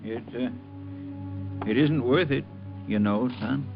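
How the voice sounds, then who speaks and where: Creaky, fussy, polite counterman. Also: mid-range voice, takes it slowly. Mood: mild, hesitant. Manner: hesitant, genteel. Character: mild, polite, fussy male lunch counterman. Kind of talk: 1950s radio drama acting. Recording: dull old radio recording, music behind.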